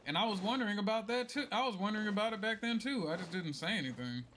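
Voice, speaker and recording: Animated, higher-voiced male game streamer. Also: quick pace, a little hiss or hum. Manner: playful, musing aloud, slangy. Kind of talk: casual playthrough commentary. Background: game music.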